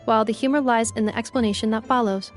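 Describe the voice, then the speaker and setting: A woman's voice: fairly high pitch, steady tone, fast talker, faint music behind, lightly acted. A woman voicing a polite robot maid in a video game, formally and literally explaining things in an earnest way. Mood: earnest, explanatory.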